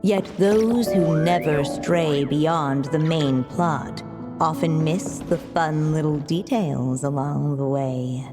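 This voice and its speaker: Slow, reverberant storybook narrator. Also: female voice, deep voice, over music. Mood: composed. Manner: measured, theatrical, knowing. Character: unseen storybook narrator, composed, knowing woman. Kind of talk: scripted storybook narration in a game. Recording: long reverberant hall.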